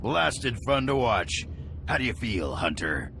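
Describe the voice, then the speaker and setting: A man's voice: mid-range voice, sing-song, a little slow, vocal fry, fairly bright tone, slight background noise, full character voice. An actor playing a dry, wry male official of a hunting contest in a game cutscene.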